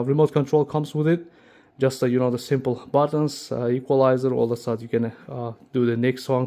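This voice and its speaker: A man with a medium-pitched voice talks through a product demo in the loose, unscripted way of a video host. English is not his first language, and his phrasing is halting, with frequent little check-in questions. Music plays faintly behind him. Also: a little mellow. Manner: halting, uses tag questions like "right?". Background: music playing, amplifier fan.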